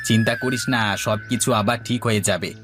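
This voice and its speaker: A man's voice: on the low side, steady tone, moderate pace, music in the background, lightly acted. A man playing a reassuring middle-aged friend in a cartoon story, easygoing and consoling in manner. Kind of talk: cartoon moral-story dialogue.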